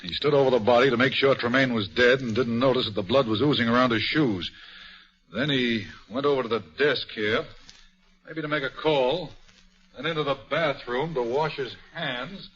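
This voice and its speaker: An actor playing a no-nonsense police inspector in a 1940s radio mystery, with a matter-of-fact, colloquial delivery. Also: male voice, medium pitch, normal speed, fairly bright tone, lightly acted. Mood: grim, puzzled. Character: plain-spoken, no-nonsense male police inspector. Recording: muffled 1940s radio broadcast recording.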